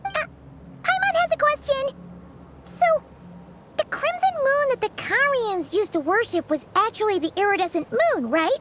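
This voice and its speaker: A woman voicing a tiny, excitable floating fairy companion who talks about herself in the third person, in a video game. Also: very high voice, moderate pace, a little hiss or hum. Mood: curious.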